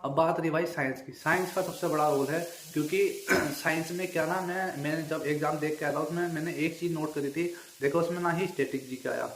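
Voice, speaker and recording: A man's voice: fairly high pitch, slight background noise. A young man giving an informal, emphatic exam analysis to viewers, often repeating himself and adding check-in tags. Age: young adult. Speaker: exam-prep video creator and exam candidate. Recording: echoey untreated room.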